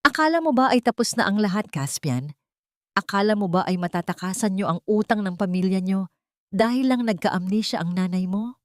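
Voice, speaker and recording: A woman's voice: mid-range voice, expressive, brisk, dry recording, natural voice. A woman voicing a bitter, menacing rich woman in a narrated drama story. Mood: taunting. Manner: accusing, menacing. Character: bitter, threatening rich woman out for money and revenge.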